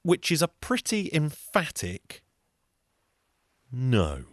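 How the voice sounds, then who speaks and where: A man telling a folktale in a wry, conversational way with deadpan asides. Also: a little high, slow, no echo, studio quality. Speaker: storyteller and folk singer. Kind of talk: wry conversational storytelling with song.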